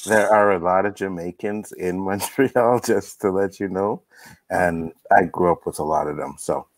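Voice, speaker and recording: A man's voice: low-pitched, moderate pace, no echo. A man talking easygoing in a casual video-call panel chat, on a headset mic. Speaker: guest.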